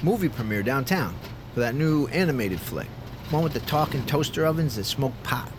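An actor playing an offhand, casual passer-by in an audio drama scene. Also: male voice, mid-range voice, animated, moderate pace, natural voice. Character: casual, chatty passer-by on a city street. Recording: noisy outdoor city street ambience. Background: street and vehicle noise.

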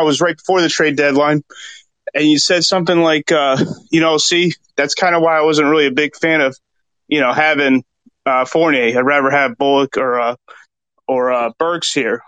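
A male caller with a slightly raised pitch asks a long, rambling question on a sports show. He stops now and then to recall details. His voice sounds close and dry. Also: fairly bright tone, no echo, low-quality recording. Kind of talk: casual call-in question.

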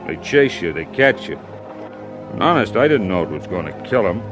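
An actor playing a simple-minded young man who poisoned someone and brags about his cunning, in halting, childlike speech. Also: low-pitched, sing-song, over music. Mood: boastful. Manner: slow, halting, childlike boasting. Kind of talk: 1950s radio drama acting. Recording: old radio broadcast recording.

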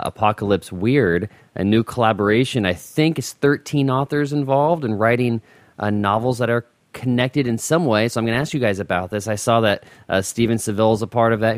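A man hosting a podcast interview who reacts excitably and asks questions in a loose, chatty way. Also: on the low side, no echo. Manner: excitable, quick reactions, laughs easily. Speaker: podcast host.

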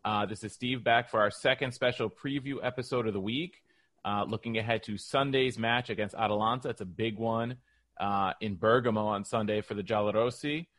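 Male podcast host, lower-pitched voice, conversational sports interview, dry close sound. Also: fairly even intonation, brisk, fairly bright tone, dry recording.

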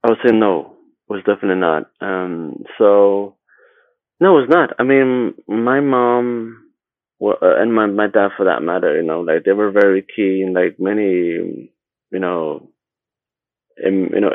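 A man from Sweden talking casually as an interview guest, haltingly, often repeating words and rephrasing as he goes. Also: lowish voice, vocal fry, dry recording, phone-quality sound. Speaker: interview guest, agency founder.

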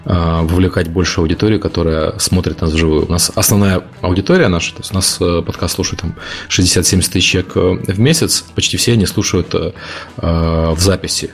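Fast-talking deep man with a gravelly voice. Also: fairly even intonation. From Ukraine. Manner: rapid explanatory talk, long run-on points. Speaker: podcast co-host. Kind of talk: casual podcast discussion.

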